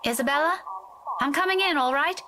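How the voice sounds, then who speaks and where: A high-voiced, prim young game heroine, acted out by a woman, gently questioning and full of concern. She speaks carefully, over clear background noise. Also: fairly quick, lightly acted. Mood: gentle concern.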